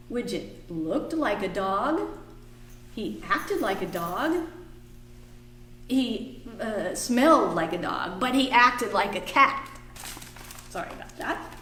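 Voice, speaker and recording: A woman hosting a library storytime for children, singing little songs and reading a picture book aloud in a slow, expressive way. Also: medium pitch, far from the mic, a little hiss or hum. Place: library room. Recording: echoey room, voice from afar.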